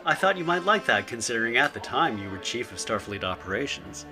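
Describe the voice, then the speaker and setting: A man's voice: mid-range voice, very animated, fairly quick, close to an everyday voice. A man playing a good-humored starship captain telling an anecdote in a sci-fi audio drama. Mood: wry storytelling. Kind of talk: audio drama acting, storytelling. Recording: music bed under the voice.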